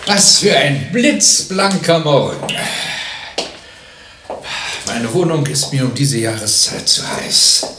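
A man playing a fastidious, mannered gentleman in a radio play, savouring his words. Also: on the high side, sing-song, exaggerated voice. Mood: leisurely contentment. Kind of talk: German radio play acting. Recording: roomy echo, clearly noisy background.

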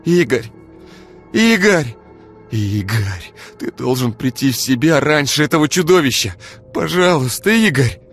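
A man voicing a fierce, sharp-tongued young woman who shrieks when angry. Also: animated, fairly quick, faint music behind, lightly acted. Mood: desperate. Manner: shrill, shrieking when enraged.

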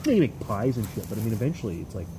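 A man's voice: fairly low pitch, very animated, very fast. A man with a stuffed-up, congested voice chatting casually, rambling and joking, swearing now and then. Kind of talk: casual chat. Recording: outdoor noise, crickets behind.

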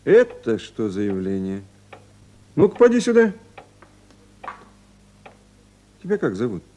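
An actor playing a kindly official on night duty in a 1970s Soviet radio play, gentle and coaxing or businesslike. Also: male voice, mid-range voice, wildly swooping pitch, moderate pace. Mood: gently curious.

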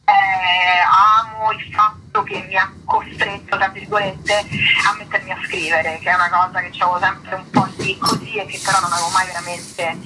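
A woman's voice: mid-range voice, level tone, moderate pace, pushed and bright, a little hiss or hum, low-quality recording. A woman speaking spontaneously as an interview guest, chatty and digressive, often rephrasing mid-sentence. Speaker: actress and writer, interview guest.

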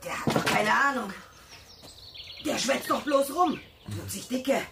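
A woman's voice: high-pitched, measured pace, gravelly, recorded from a distance, very noisy. An actress playing a gossipy, distrustful village woman in a radio drama, chatty and scornful in colloquial speech.

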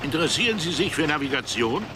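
Ship's navigator played by a man, radio drama: somewhat high male voice, explanatory, proud, clear noise behind. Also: expressive, fast, natural voice. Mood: matter-of-fact. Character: male ship's navigator, matter-of-fact, proud of modern methods. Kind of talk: German radio drama acting. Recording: clear outdoor ambience noise.

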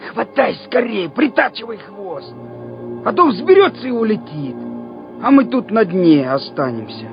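An actor playing a folksy, bantering Soviet soldier in a wartime radio drama. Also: male voice, high voice, big pitch swings, a little slow, exaggerated voice. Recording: dull old radio recording with music.

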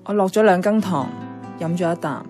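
A woman's voice: on the low side, fairly quick, darkish tone, soft background music, close to an everyday voice. An actress with a Hong Kong Cantonese accent playing a thoughtful, frank young woman in a radio drama. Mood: wistful. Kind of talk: radio drama dialogue and narration.

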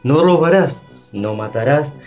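A man's voice: on the high side, lively intonation, a little slow, a little mellow. A man playing a plain-spoken, instructive holy teacher in a radio play. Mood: patient, instructive. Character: Jesus, matter-of-fact teacher reciting commandments. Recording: dull lo-fi, faint music behind.

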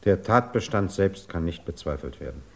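An actor playing a courteous, resolute government investigator in a 1950s German radio drama, speaking formally and deliberately. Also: male voice, low-pitched, animated, creaky, husky, exaggerated voice. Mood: polite, reserved.

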